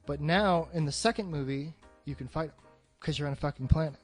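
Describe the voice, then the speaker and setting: A man's voice: on the high side, a little fast, soft background music. A man hosting a podcast in a casual, rambling movie discussion, swearing freely and sounding enthusiastic. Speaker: podcast host.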